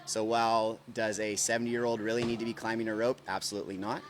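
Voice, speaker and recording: A man's voice: lowish voice, little pitch movement, fast talker. A man speaking as a fitness coach, explaining things informally and instructively in a live interview. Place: gym, on location. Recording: faint noise of a gym.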